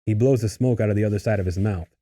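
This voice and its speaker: A man's voice: fairly low pitch, racing, warm tone. A man reading stage directions as scripted narration for a table read.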